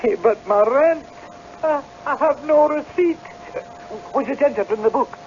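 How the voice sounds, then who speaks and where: A young Scottish tenant in an old radio drama, meek yet fretfully persistent. His voice is very high for a man. The recording is muffled with light hiss. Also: measured pace.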